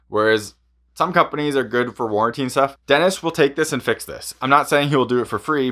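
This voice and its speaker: A man's voice: mid-range voice, a bit bright, a little hiss or hum. Casual man reviewing sports gear.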